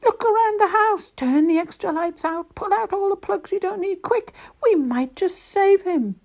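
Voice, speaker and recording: Woman as a small storybook elf, very high, fretful, exclaiming, lively swoops. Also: moderate pace. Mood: urgent, bossy. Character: small springy male elf, fretful and excitable.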